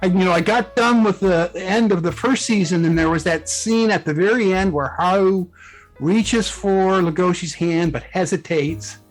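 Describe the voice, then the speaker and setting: Higher-pitched man in a casual interview. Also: moderate pace, rough recording. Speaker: guest author, fan fiction writer. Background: background music.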